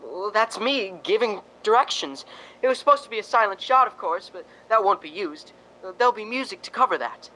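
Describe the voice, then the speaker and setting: A man's voice: high-pitched, animated, fairly quick, pushed and bright, rough recording. An actor playing a fussy film director in a radio drama, coaxing his leading lady with theatrical short commands. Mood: businesslike.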